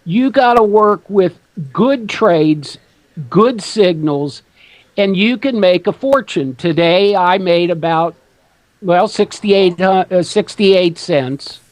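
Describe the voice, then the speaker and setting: A man hosting a trading talk show, talking slowly and haltingly as he works out price numbers. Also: high-pitched, expressive, no echo. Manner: slow, halting, counting out numbers. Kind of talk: casual talk radio.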